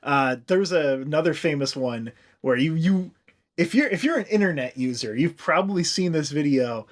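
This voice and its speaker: A man's voice: fairly high pitch, expressive, moderate pace. A man co-hosting a comedy podcast with casual, jokey, profane riffing.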